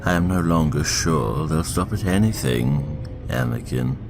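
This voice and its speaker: A man in a fan audio drama playing an elderly, cunning politician, speaking in a measured, theatrical, insinuating way with a gravelly voice. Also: extremely low pitch, lively intonation, exaggerated voice. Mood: grave, confiding. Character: elderly, cunning politician, smooth, avuncular and manipulative. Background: music.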